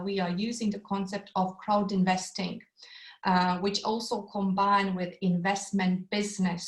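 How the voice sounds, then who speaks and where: A woman with a Latvian accent gives an eager online sales talk, her lines full of um and uh. Her voice sits at an ordinary middle pitch. She is heard over a video call from a room with noticeable echo. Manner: enthusiastic, with frequent um and uh fillers. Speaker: webinar presenter.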